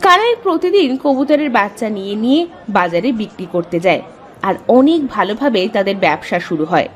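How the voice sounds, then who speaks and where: A woman's voice: mid-range voice, sing-song, normal speed, a faint crowd, a little hiss or hum. A woman giving scripted storybook narration as the narrator of a folk-style tale.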